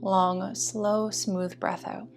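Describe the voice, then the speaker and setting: A woman leads a restorative yoga practice as a teacher. Her phrasing is soothing and deliberate, at a medium pitch, with music playing behind her voice. Each instruction is given slowly, in the manner of a guided meditation. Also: flat delivery, creaky.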